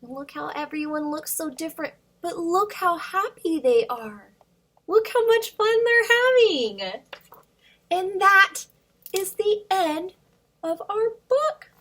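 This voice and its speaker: A woman's voice: extremely high pitch, sing-song, slow, fairly bright tone. A woman hosting storytime for young children, reading aloud expressively with sing-song emphasis and addressing questions to young listeners.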